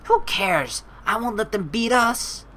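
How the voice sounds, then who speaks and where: A combative, competitive teenage girl out of a game, put on by a woman reading aloud. The voice is pushed up higher and comes out loud and forceful. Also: lively intonation, slow, slight background noise. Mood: defiant. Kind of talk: video game character read aloud.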